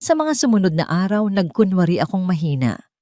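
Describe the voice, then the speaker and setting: A woman with a Filipino accent narrating a dramatic first-person story in an expressive, scripted storytelling manner. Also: on the low side, a little fast, dry recording.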